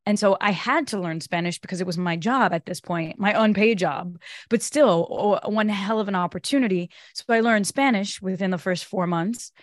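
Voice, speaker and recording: A young adult woman from Brazil, a native Portuguese speaker, telling her story as a podcast guest in a lively, animated way. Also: mid-range voice, moderate pace, no room sound, studio quality. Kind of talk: casual interview chat. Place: home office with bookshelves. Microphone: studio mic on boom arm.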